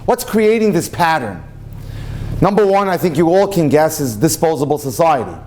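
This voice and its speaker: A middle-aged man, a rabbi, giving a live talk to an audience on a handheld mic and telling jokes with lively punchlines. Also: a little high, normal speed, a little hiss or hum. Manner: animated storyteller, voices for punchlines. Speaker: rabbi and dating speaker. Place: event hall with audience.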